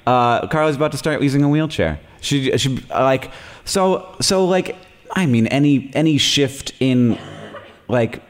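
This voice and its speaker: A man's voice: mid-range voice, lively intonation, a little slow. A man in his late thirties with an American accent, hosting a live podcast in front of an audience, telling stories with self-deprecating humour and frequent laughs. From United States. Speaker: podcast host. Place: stage before a live audience.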